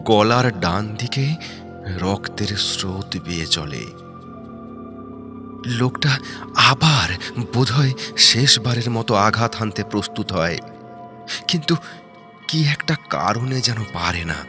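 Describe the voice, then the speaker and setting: A man narrating a horror story in a dramatic, suspenseful way, in short halting phrases. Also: fairly low pitch, lively intonation. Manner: suspenseful, halting short phrases, dropping to hushed breathy tones. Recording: background music under the voice. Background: prominent music.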